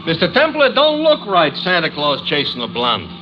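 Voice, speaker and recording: A naive, slangy comic sidekick. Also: male voice, fairly high pitch, wildly swooping pitch, moderate pace. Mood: jokey. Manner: earnest, rough slang, stammering. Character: naive, comic male sidekick, a bit slow. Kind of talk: 1950s radio drama acting. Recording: dull old radio broadcast with hiss.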